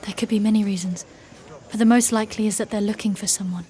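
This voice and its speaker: A woman playing a proud, stern warrior knight in a dark fantasy audio drama, speaking in a formal, commanding way. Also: mid-range voice, fairly even intonation, fast, lightly acted. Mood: wary, focused.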